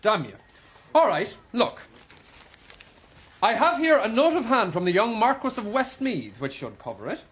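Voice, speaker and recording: A young would-be lord in a radio play. The voice is very high for a man, husky and lively in pitch, with affected courtesy. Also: moderate pace, pauses often, heavily acted. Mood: exasperated. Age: young adult. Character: eager young Irish impostor posing as a lord. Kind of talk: radio drama acting.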